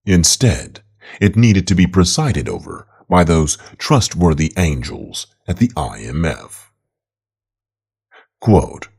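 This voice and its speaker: A man's voice: low voice, darkish tone, slightly rough. A man reading an opinion article aloud as scripted narration.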